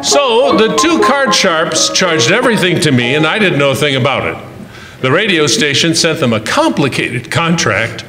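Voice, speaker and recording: A man's voice: a little high, sing-song, moderate pace, big-room sound, music in the background, poor audio. A man acting a wry, exasperated but doting husband who narrates an old-time radio comedy with puns. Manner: wry, punning storyteller. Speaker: actor and narrator in a radio play.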